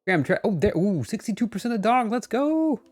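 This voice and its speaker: A man's voice: high voice, big pitch swings, brisk, a little mellow, no room sound. A man streaming a game, chatting casually with playful, goofy interjections over game music. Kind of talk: casual livestream chat.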